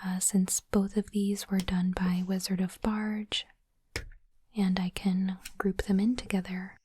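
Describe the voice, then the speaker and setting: A woman whispering gently and breathily in an ASMR style, in unhurried short phrases, close to the microphone. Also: mid-range voice, flat delivery. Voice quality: soft, breathy unvoiced whisper. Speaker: ASMR host unboxing trading cards. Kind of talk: whispered ASMR, reading cards and commenting. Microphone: close microphone, dry.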